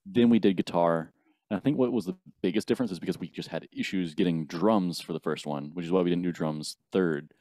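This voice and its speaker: A man in his twenties, a band's bassist, explaining the recording process methodically in a casual interview. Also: brisk, mellow, no echo. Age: 20s. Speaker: band bassist.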